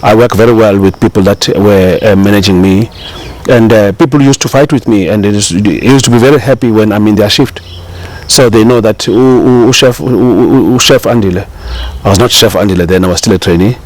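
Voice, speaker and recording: A middle-aged South African man with a fairly low voice tells his story in a loose, rambling way. He circles back often, full of fillers and restarts, relaxed and unhurried as an interview guest. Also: rough recording. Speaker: chef, guest. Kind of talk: casual interview talk.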